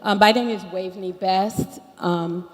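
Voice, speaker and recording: Woman, American-sounding accent, resident speaker at a public consultation; formal, itemised delivery, mid pitch, long hall reverb. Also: fairly even intonation, measured pace. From Barbados. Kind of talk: formal public presentation of a complaint. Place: large indoor meeting hall.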